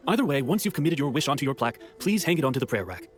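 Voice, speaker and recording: A man's voice: mid-range voice, sing-song, racing, soft background music, lightly acted. A man voicing a courteous, helpful festival stall vendor in a video game, polite and matter-of-fact.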